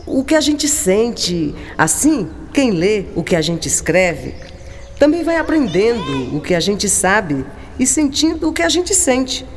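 A woman playing a gentle, philosophical writer in a radio drama, talking in a musing, affectionate and expressive manner. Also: medium pitch, measured pace. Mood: playful, earnest. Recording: long reverb, clear background noise.

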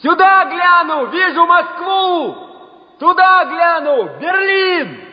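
An actor playing a bold Soviet soldier who calls out loudly to comrades in a wartime radio drama. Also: male voice, very high-pitched, animated, slow pace, exaggerated voice. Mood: urgent, teasing. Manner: shouting, calling out. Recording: dull, echoing radio recording with music.

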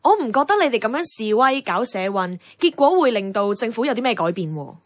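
A woman's voice: on the high side, big pitch swings, brisk, no echo, studio quality. A woman acting a wistful, earnest young Hong Kong woman in a Cantonese radio drama. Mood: earnest, doubting. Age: young adult. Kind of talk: radio drama acting with reflective first-person narration.

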